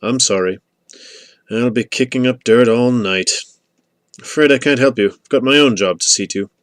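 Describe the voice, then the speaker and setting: A man's voice: mid-range voice, moderate pace, no room sound, studio quality, natural voice. A man voicing an old male guard dog in an audiobook, weary, plain-spoken and mildly grumbling. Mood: resigned.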